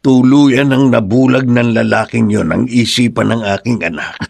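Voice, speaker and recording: An actor playing an indignant, protective old grandfather in a radio drama, husky and emphatic. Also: medium pitch, steady tone, moderate pace, darkish tone, dry recording. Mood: grave, protective. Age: older. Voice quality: gravelly, husky. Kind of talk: Tagalog radio drama acting.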